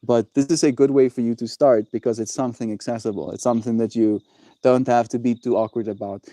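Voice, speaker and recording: Mellow-voiced laid-back male streamer. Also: medium pitch, fairly even intonation, fast, no echo. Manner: laid-back, encouraging, says "bro" a lot. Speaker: livestreamer. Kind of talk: casual livestream chat with viewers.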